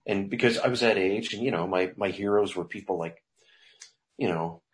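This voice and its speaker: A man's voice: deep voice, normal speed, slight background noise. A middle-aged man, a songwriter guest, talking loosely in an interview, rambling with restarts. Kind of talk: casual interview talk.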